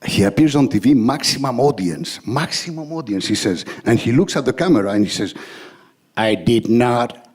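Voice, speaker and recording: A man with a husky voice and a strong Greek accent performs stand-up in English, his second language. His pitch jumps around as he tells stories and acts out exchanges. He is crude and sweary, tossing in Greek exclamations. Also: normal speed. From Greece. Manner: animated storytelling with acted-out exchanges. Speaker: stand-up comedian. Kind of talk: stand-up comedy monologue in English.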